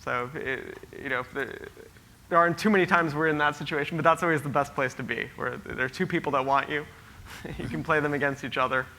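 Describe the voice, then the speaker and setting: A gravelly, fairly high-voiced man fields audience questions at a conference panel. He talks loosely, circling back through fillers and restarts as he thinks aloud. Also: steady tone, quick pace, sharp tone. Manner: hesitant, many fillers and restarts. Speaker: panel moderator. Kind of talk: casual panel answers. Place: conference hall.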